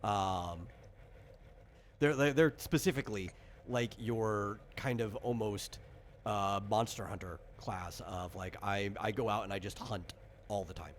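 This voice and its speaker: A man's voice: lowish voice, a little slow. A man chatting casually as a game master, explaining things in an informal, rambling way. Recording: clear background noise.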